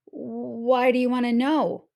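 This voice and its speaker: A woman's voice: a little high, level tone, takes it slowly, creaky, mellow. A woman voicing a brave, self-important little girl who plays at being a superhero in a storybook. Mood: wary. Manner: bold, self-important, declaiming. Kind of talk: storybook read-aloud character.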